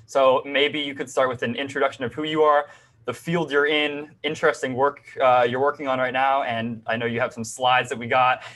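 A man hosting a podcast interview, relaxed and welcoming, often answering with a short word of approval. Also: mid-range voice, brisk. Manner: warm welcoming, says "beautiful" often. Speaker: podcast host. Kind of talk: casual interview.

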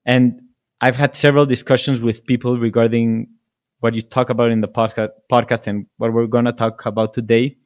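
A man hosting a podcast, a native Spanish speaker asking questions in English slowly and hesitantly, full of admiration for his guest. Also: medium pitch, flat delivery, a little mellow. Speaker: podcast host. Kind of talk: podcast interview.

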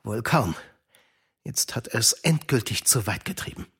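A man's voice: medium pitch, lively intonation, normal speed, fairly bright tone, breathy edge, heavily acted. A man acting a kindly, devout uncle in a German children's radio play, earnest and shaken. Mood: shaken, dismayed.

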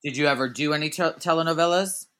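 A man's voice: a little high, fast, a bit bright, clean tone. A man hosting an interview, asking questions and chatting enthusiastically. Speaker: interview host. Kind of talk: casual interview chat.

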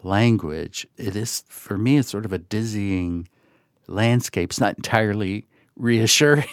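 A man's voice: fairly low pitch, unhurried, no room sound, clean studio sound. A man talking reflectively as a literary editor and host, thoughtful and hesitant, often circling back to rephrase. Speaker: magazine editor and podcast host.

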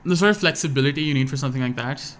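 A man's voice: mid-range voice, racing, a bit bright. Hesitant young man with American accent. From Pakistan. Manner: hesitant, restarts often, many fillers. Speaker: guest musician. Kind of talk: casual podcast interview.

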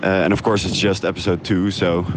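A man's voice: deep voice, level tone, brisk, background noise. A man from the Netherlands, an independent audio creator, talking casually and hesitantly as he thinks aloud in a voice memo.

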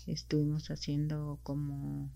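Slow, low-voiced woman from Mexico. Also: monotone, creaky, lo-fi sound. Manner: soft, hesitant, many fillers. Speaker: interview guest. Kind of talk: casual interview answers.

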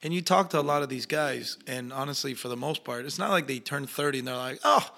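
Bright-voiced man with an Argentine accent. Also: mid-range voice, fast, gravelly. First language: Spanish. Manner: animated storyteller acting out quoted voices. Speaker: actor guest. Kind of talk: casual interview storytelling.

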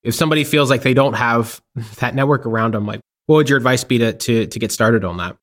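A man's voice: mid-range voice, fast, a bit bright. A man hosting a casual interview, chatty, using many fillers and laughing easily. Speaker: podcast host.

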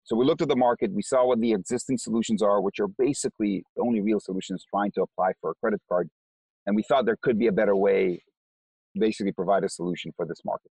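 A man's voice: lowish voice, fast talker, mellow, husky, no room sound, low-quality recording. A man with an Israeli accent, a company co-founder, explaining his business in an interview and often repeating words as he starts sentences.

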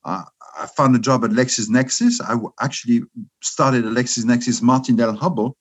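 A man's voice: medium pitch, husky. A man in his fifties with a French accent, a guest answering in a casual interview with lots of restarts and fillers. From France. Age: 50s. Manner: halting, many restarts and fillers. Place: his room at home.

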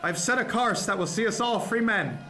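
A man's voice: sits high, animated, moderate pace. An actor playing a defiant, commanding first mate in a radio drama, delivering earnest oratory to his crew. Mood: resolute. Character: defiant mutinous first mate, a commanding male ship's officer. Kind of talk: serial radio drama acting. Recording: noisy scene with loud crowd behind.

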